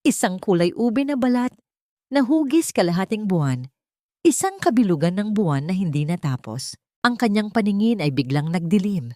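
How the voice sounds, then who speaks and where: A female storyteller with a slightly low voice narrates a romantic drama from a script. Her delivery is solemn and emotive. The sound is close and dry, without room echo. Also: a little mellow.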